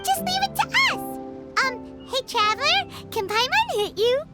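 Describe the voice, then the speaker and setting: An actress voicing a tiny, perky, cheeky fairy girl in a video game, with a squeaky childlike voice. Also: big pitch swings, unhurried, music in the background, exaggerated voice. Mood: perky, curious. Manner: refers to self in third person. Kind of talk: video game character acting.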